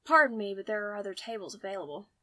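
A woman's voice: medium pitch, expressive, fast talker, sharp tone, dry recording. A woman voicing a wary, prickly man from London, guarded and terse, in an audio story.

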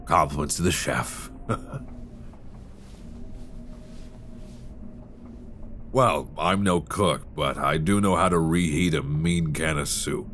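Horror audio roleplay: bottom-register male actor as weary polar survivor, unhurried, intimate, close binaural mic. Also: slight background noise. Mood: wry. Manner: sighing, low-key, addressing one listener as friend. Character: weary, grim male survivor on icebound expedition ship. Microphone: close binaural microphone. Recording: close binaural with steady storm-like ambience.

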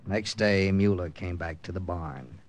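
An actor playing a resolute, hard-boiled wartime captain in an old-time radio drama, speaking and narrating in a firm, clipped, dramatic way. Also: male voice, low-pitched, a little slow, slight background noise. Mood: grave, dramatic.

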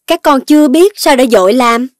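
A woman playing a gentle, patient, amused mother in a children's cartoon. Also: high voice, expressive, moderate pace, no room sound, clean studio sound. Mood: gentle, teaching. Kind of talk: children's animated cartoon voice acting. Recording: music under the voice.

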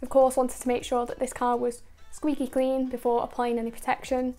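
A woman talks through a car wash step by step in a recorded voice-over, methodical and focused on the products. Her pitch sits a little high but stays very level and even from line to line. Faint music plays underneath. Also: brisk. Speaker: car detailing channel host.

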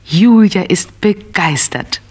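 A woman narrating a scripted children's tale in an expressive, simple storytelling manner. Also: mid-range voice, slow pace, breathy edge.

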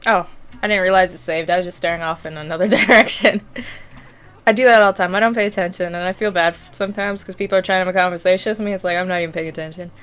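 A woman's voice: on the low side, fairly even intonation, very fast, fairly bright tone, clear, resonant tone. Chatty young woman streamer, swearing casually. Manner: chatty, distracted, swears and laughs freely. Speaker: gaming streamer. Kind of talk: casual let's play commentary.

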